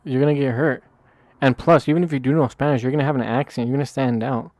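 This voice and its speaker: A man's voice: medium pitch, steady tone, fast talker, a little mellow, dry recording. A man talking bluntly and profanely in a heated casual discussion, repeating himself as he goes. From United States.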